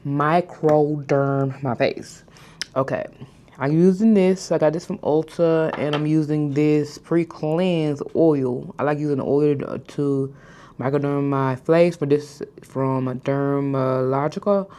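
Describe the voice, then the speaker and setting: A woman's voice: sits low, steady tone, mellow, a little hiss or hum. Woman in her thirties with a stutter. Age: 30s. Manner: stutters and mispronounces words, talks slowly on purpose. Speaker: vlogger. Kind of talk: casual skincare vlog chat. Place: hotel room, indoors.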